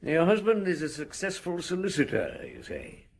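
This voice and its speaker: An actor playing an older, wry, unhurried police chief inspector in a radio drama, musing and gently probing with hums and pauses. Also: male voice, fairly high pitch, wildly swooping pitch, creaky, slightly rough, full character voice.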